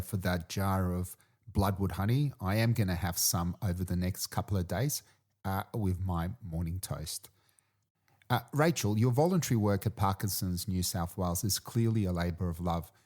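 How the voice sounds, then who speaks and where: A man's voice: deep voice, lively intonation, normal speed, a little mellow. A man hosting a podcast, asking questions in a friendly, affirming way with hesitant restarts. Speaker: podcast host. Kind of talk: interview questions.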